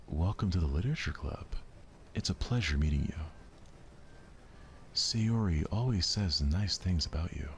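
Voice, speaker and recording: Give a man playing a timid visual novel schoolgirl. She is polite, demure and mature, speaking with some creak in the voice. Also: deep voice, lively intonation, normal speed, long pauses, a little hiss or hum.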